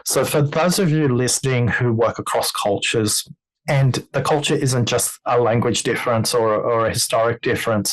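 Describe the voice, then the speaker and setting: A man hosting a podcast about listening, speaking in a deliberate, coaching way and drawing out insights for his audience. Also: mid-range voice, rough recording. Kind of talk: podcast conversation.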